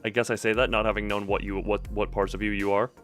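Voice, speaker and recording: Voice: low voice, little pitch movement, fast talker, a bit bright, soft background music. A game stream host chatting casually and rambling while reacting to chat. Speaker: livestream host.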